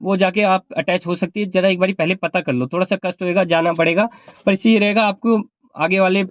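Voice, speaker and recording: High-pitched, fast-talking man with a sore throat. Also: steady tone, dry recording, muffled recording. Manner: explanatory, repeats points. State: sore throat, not speaking at full volume. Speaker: vlogger and cab driver. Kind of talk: informal advice vlog talk.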